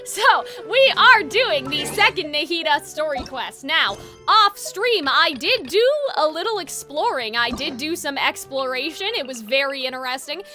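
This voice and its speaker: Chatty gamer girl streaming, super high voice, sweary and exclamatory, music playing behind her. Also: lively intonation, moderate pace, pushed and bright. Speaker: video game streamer, host. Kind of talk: casual live stream chat with viewers.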